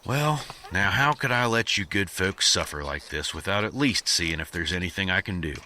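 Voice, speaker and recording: A man's voice: deep voice, lively intonation, normal speed, sharp tone, a little hiss or hum, exaggerated voice. A man playing an earnest, self-righteous folksy drifter hero in a comedy western audio drama. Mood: earnest, noble. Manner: folksy drawling cowboy speech.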